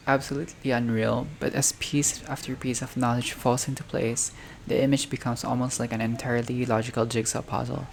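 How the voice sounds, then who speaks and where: Creaky-voiced gamer reading visual novel text. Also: mid-range voice, darkish tone. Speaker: gaming video creator playing a visual novel. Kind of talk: reading game text aloud with casual reactions. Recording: clearly noisy home recording, unstable audio.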